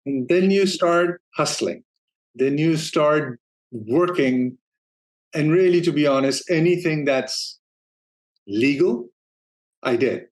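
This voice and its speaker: A man from Denmark, around his forties, a guest in an interview, telling his career story in a relaxed, easygoing way with frequent restarts and easy laughter. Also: a little high, slow, long pauses. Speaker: guest, streaming operations manager. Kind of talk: casual interview talk.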